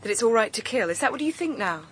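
A forthright young Englishwoman in a radio play, fairly high and sharply clear in tone. She is anxious and principled, pressing her questions earnestly, with faint noise behind her. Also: big pitch swings, quick pace, fairly bright tone. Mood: troubled. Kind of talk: radio drama acting.

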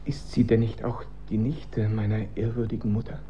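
A man's voice: lowish voice, level tone, normal speed, mellow, lightly acted. An actor playing a timid, dreamy young emperor in a German radio drama, formal, hesitant and pleading as he defers to his mother. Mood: bewildered, deferential. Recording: dull recording with clear noise.

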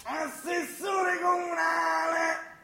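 A frustrated, self-important man with a southern Italian accent in a radio play. His voice is extremely high and gravelly, ranting and declaiming as if to a crowd. It rings in a roomy, echoing space. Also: flat delivery, drawn-out words, soft background music, heavily acted. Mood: grandiose shouting. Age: 30s. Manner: ranting, declamatory, often shouting. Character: frustrated, boastful man of thirty-three dreaming of projects. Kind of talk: theatrical radio drama acting. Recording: roomy stage-like echo.

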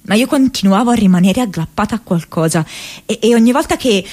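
An actress playing an earnest, haunted young American woman activist in an intimate radio drama monologue, speaking haltingly with hesitant pauses and repeated words. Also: mid-range voice, lively intonation, a little mellow, close to an everyday voice. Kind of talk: intimate radio drama monologue, diary read as spoken memories.

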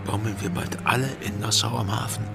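A man's voice: lowish voice, fairly even intonation, brisk, vocal fry. An actor playing a wary, hot-tempered male pirate mate in a radio drama, tense and doubting. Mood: alarmed doubt. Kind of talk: German radio drama acting. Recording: music underneath.

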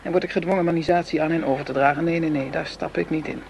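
A man's voice: a little high, fairly even intonation, quick pace, vocal fry, darkish tone, a little hiss or hum. An actor in a radio drama playing a shrewd, cautious head of a counterfeiting ring, dismissive and decisive in his talk. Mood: dismissive, wary. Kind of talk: Dutch radio drama dialogue.

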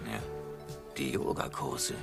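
An actor playing a fed-up, grumbling sailor with a rough voice in a satirical radio play. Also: male voice, slow pace, loud music behind the voice, lightly acted. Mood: griping.

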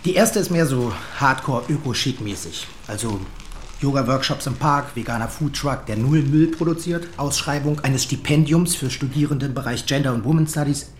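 An actor playing a slick, self-assured advertising creative in a naturalistic radio drama, glib and full of jargon. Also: male voice, medium pitch, normal speed, a little hiss or hum, close to an everyday voice. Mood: pitching.

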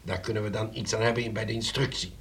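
An actor in a Dutch radio play playing a shrewd, deliberate older relative who poses as a police commissioner, speaking with measured authority. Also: male voice, on the low side, steady tone, a bit bright, husky. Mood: stern, assured.

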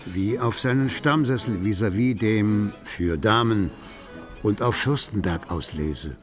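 A man acting as the wry narrator of a literary radio play about bohemian Berlin, articulate and measured in a declamatory storytelling way. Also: lowish voice, natural voice. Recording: music behind the voice.

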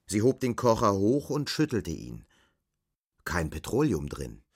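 A man's voice: on the low side, wildly swooping pitch, measured pace, breathy edge. A man narrating a radio drama as a scripted literary reading.

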